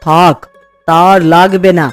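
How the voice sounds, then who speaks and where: A woman's voice: lowish voice, steady tone, slow pace, clear, resonant tone, no room sound. Grumbling, scolding old village wife played by a woman. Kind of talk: cartoon voice acting. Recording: music bed behind the voice.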